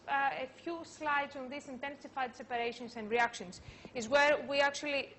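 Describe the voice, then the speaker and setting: A woman lecturer giving an academic conference talk, speaking in a halting way with frequent filler sounds. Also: on the high side, a bit bright, slight background noise.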